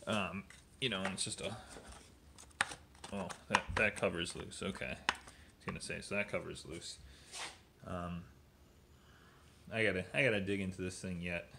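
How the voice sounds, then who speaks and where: A man hosting a casual hands-on walkthrough, thinking aloud in a halting way, recorded on a handheld phone in a garage. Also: low-pitched, fairly even intonation, vocal fry, very noisy. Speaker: host showing a repair project. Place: indoors in a garage. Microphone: handheld phone camera, off screen.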